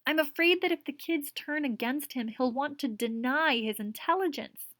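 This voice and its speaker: A woman's voice: sits high, moderate pace, fairly bright tone, no echo, pitched above the performer's own voice. A woman voicing a weary middle-aged female teacher who is distraught and pleading. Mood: distressed. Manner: pleading, emotional.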